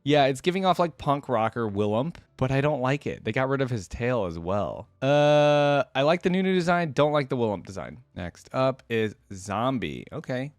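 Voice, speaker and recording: A man's voice: medium pitch, very animated, normal speed, hardly any pauses, no room sound, studio quality. A man giving casual, unscripted reaction commentary as a video host, chatty and thinking aloud.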